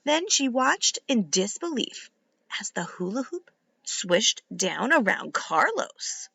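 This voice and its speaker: A woman reading a children's book aloud like a teacher, in an expressive, deliberate and instructive way. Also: on the high side, gravelly, slightly rough, no echo. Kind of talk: animated read-aloud narration for young children.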